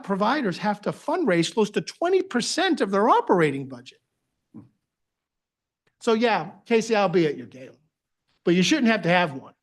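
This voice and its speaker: A man with a Boston accent, giving a conversational presentation to a board at a public meeting, with phrase ends that trail off. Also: high voice. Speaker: agency director presenting to a board.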